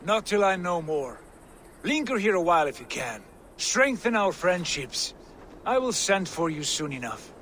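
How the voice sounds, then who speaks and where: A commanding Viking lord in a video game, decisive and brisk. His voice is forceful and lively in pitch. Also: slight background noise, heavily acted. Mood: brisk, assured. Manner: decisive, authoritative. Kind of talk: video game cutscene acting.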